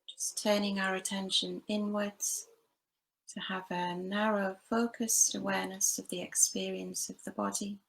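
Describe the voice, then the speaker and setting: A woman leading a guided body scan meditation, giving gentle, unhurried instructions with long pauses between phrases. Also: mid-range voice, flat delivery, gravelly, rough recording. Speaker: meditation teacher.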